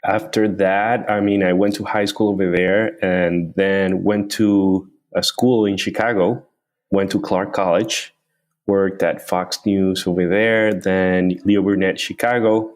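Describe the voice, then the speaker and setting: Low-voiced man. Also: level tone, unhurried. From Colombia. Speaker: guest, company founder. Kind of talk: interview answers.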